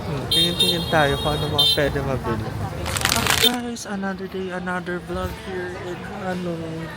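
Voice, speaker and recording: A man's voice: high-pitched, normal speed, over a noisy crowd. A man with a Filipino accent chatting casually and playfully to camera as a travel vlogger. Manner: playful, jokey, informal. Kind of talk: casual chat to camera, mixing Tagalog and English. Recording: noisy city ambience, handheld vlog camera. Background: street and cafe noise, traffic.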